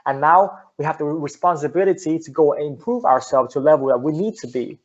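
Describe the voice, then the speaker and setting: Young man from Finland, native Finnish, earnest, stumbling restarts, slightly high voice, dull lo-fi sound. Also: expressive, fast. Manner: earnest, repeats words and restarts often. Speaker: guest entrepreneur. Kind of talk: casual interview talk.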